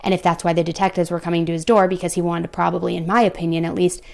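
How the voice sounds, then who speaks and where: A woman's voice: on the low side, flat delivery, very fast, creaky. A young woman hosting a true crime show, recounting a case and breaking off into blunt, exasperated asides to her viewers. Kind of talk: true crime storytelling with commentary.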